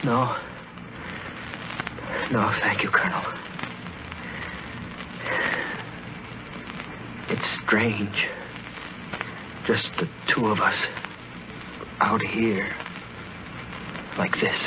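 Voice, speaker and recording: Slow, weak, dying radio man. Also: on the low side, little pitch movement. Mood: resigned. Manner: halting, faint, broken phrases. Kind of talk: 1940s radio drama acting. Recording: muffled, noisy old radio recording.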